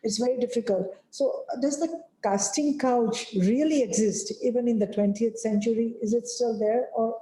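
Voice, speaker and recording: A woman's voice: on the high side, normal speed, hardly any pauses, mellow, reverberant, low-quality recording. A woman interviewing a guest, asking questions hesitantly with many fillers. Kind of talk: conversational interview.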